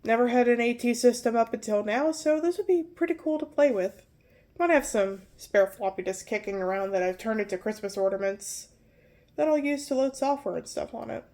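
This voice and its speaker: A woman's voice: on the high side, animated, a little fast, dark tone. A young woman with a nasal voice from pollen allergies, casually walking through old computer hardware and often correcting herself as she rambles. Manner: rambling, self-correcting, apologetic. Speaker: tech video host.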